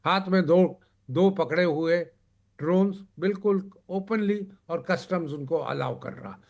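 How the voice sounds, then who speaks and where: A man's voice: high-pitched, unhurried, gravelly, a little mellow. A man speaking as a panelist in a televised discussion, emphatic, in short broken phrases with repetition.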